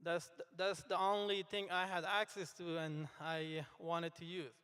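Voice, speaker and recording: A young adult man from Afghanistan, a native Dari speaker, giving an earnest commencement speech in English with halting restarts in a large hall. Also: high voice, level tone, a bit bright, clean tone. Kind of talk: speech to graduates. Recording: echoey hall, long reverb.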